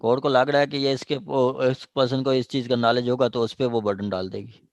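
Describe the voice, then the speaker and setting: A man's voice: mid-range voice, little pitch movement, a little fast, no room sound. A man leading a class discussion, mostly acknowledging others with short murmurs and adding brief clarifications.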